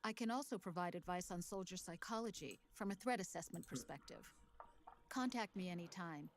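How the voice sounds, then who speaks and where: An actress playing a calm, professional military counselor in a video game, speaking formally and reassuringly. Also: female voice, on the low side, a little fast, a little hiss or hum. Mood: composed, professional.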